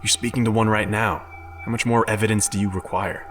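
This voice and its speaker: A man's voice: on the low side, fairly even intonation, a little fast, a bit bright, soft background music, natural voice. A man playing a rational, challenging advisor in a sci-fi audio drama, making pointed, probing remarks.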